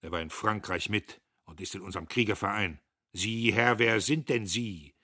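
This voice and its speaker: Guy reading as a growly old army major, loud and barky, bright edge, husky. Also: a little high, lively intonation, normal speed, dry recording, studio quality, voice pushed up, exaggerated voice. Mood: angry. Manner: barking, thundering. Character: gruff bullying old army major, male.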